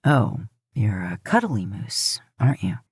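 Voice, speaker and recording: A woman voicing a gruff, terse man, a protective brother in a fan fiction audiobook. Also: a bass voice, big pitch swings, measured pace, no echo, clean studio sound, voice pushed down, heavily acted. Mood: teasing.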